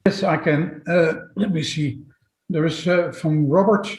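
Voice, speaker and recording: Male webinar moderator, slightly high voice, halting read-outs of audience questions, noticeably echoey room. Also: darkish tone. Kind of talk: reading out audience questions and comments. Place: indoors, online webinar.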